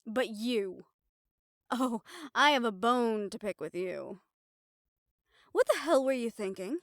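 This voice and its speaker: Higher-voiced, exasperated mafia girlfriend played by a woman. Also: expressive, takes it slowly, no echo, studio quality. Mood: guarded, wary. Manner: sweary, sarcastic, blunt. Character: mafia boss's blunt, exasperated girlfriend and loyal lieutenant. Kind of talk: audio roleplay acting to the listener.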